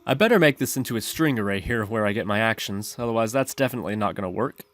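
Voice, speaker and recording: A man's voice: lowish voice, expressive, fast talker, fairly bright tone. A man narrating a step-by-step screencast tutorial, explaining his reasoning as he goes. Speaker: programming tutorial host.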